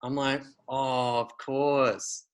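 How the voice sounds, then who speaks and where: A man's voice: medium pitch, level tone, extremely slow. A man, hosting a casual conversation and rambling with many fillers and restarts.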